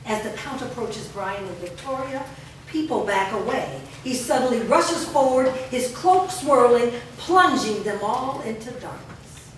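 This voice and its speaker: A woman's voice: fairly high pitch, lively intonation, unhurried. A woman reading stage directions as scripted narration. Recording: noisy, very echoey hall from far off.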